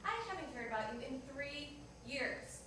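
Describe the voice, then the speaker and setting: A woman's voice: sits high, expressive, normal speed, sharp tone. A woman playing a bitter, jilted coffee-shop manager in a staged reading, with a curt, sarcastic and accusing manner. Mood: curt, scornful. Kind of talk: staged reader's theater dialogue. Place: indoor performance room, distant microphone. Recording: echoey noisy room recorded from far off.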